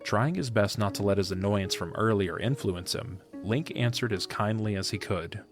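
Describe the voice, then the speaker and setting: A man with a fairly low voice reads scripted story narration for an audio play. Music plays softly underneath him. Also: moderate pace.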